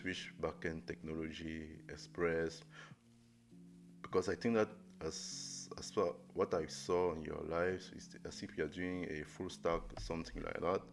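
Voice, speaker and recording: Low, slow, gravelly young man. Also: fairly even intonation, slight background noise. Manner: halting, many fillers and restarts. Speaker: coding livestreamer. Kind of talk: live coding tutorial, thinking aloud. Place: indoor room at a desk. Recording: music playing under the voice. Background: music playing and keyboard typing.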